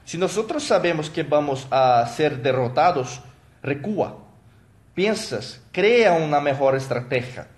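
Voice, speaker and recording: Portuguese-speaking man, halting live talk. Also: fairly high pitch, animated. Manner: halting, with many fillers and self-corrections; thanks viewers by name as they comment. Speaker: motivational coach. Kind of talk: live stream talk to viewers.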